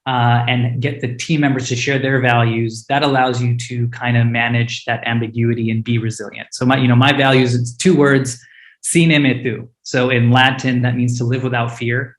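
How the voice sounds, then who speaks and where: Earnest founder, flat delivery, echoey room. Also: male voice, mid-range voice, normal speed. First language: Hindi. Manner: earnest, open, many fillers and restarts. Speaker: startup founder panelist. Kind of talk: panel discussion answers.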